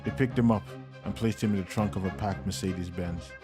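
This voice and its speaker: Low-voiced male storyteller over music. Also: a little fast. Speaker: narrator. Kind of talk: audiobook narration.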